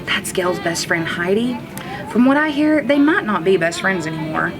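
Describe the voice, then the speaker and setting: Woman, mid-pitched, teacher-style children's read-aloud, expressive and emphatic, with clear music underneath. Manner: expressive, with drawn-out words for emphasis. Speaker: kindergarten teacher reading aloud. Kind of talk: children's storybook read-aloud, first-person narration. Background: background music.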